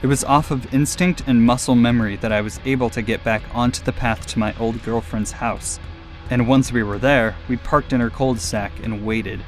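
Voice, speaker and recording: A man tells a personal scary story in a scripted, dramatic narration. His pitch is average for a man, and music plays beneath his voice. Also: steady tone, moderate pace, poor audio.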